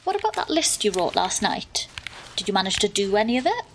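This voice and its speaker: A woman's voice: mid-range voice, very animated, a little fast, slight background noise, close to an everyday voice. Dry, wry young woman, played by an actress. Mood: exasperated. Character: exasperated, wry young woman narrating as best friend. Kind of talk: audio drama narration and dialogue.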